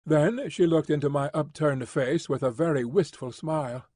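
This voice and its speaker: Male audiobook narrator, measured, expressive, slightly high voice, dry sound. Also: no echo. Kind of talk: scripted narration.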